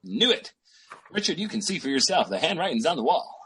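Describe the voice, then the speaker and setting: A man playing a pushy, scheming boyfriend with big schemes and a husky voice in an old-time radio comedy. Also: on the high side, very animated, a bit bright, slight background noise, low-quality recording. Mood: cocky, persuasive. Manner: pushy, fast-talking salesman patter. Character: brash, scheming small-time hustler boyfriend.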